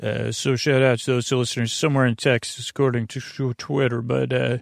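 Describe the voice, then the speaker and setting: A man with a creaky voice hosting a bedtime podcast in a meandering, halting, earnest monologue that keeps interrupting itself. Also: mid-range voice, little pitch movement, dry recording, clean studio sound. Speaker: podcast host.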